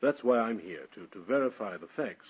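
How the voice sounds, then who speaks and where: An actor playing a polite, methodical police detective with a husky voice in a vintage radio thriller. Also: male voice, fairly low pitch, lively intonation, fairly quick. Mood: courteous, probing. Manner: apologetic, methodical. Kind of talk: 1940s-60s radio thriller acting. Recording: muffled vintage radio recording.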